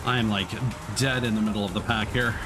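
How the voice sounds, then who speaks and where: A man giving casual live commentary as a video game streamer, thinking aloud in a halting way. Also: fairly low pitch, steady tone, background noise. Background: game music and vehicle sounds.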